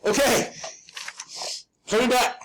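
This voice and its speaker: A man with a high, lightly raspy voice walks students through a drawing task step by step. He is informal and self-deprecating, talking like a hands-on teacher and often pausing to check in. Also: fairly even intonation, heavy background noise. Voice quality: slightly raspy. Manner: instructional, checks in often with "okay?". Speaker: drafting teacher. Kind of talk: live step-by-step hand drawing demonstration.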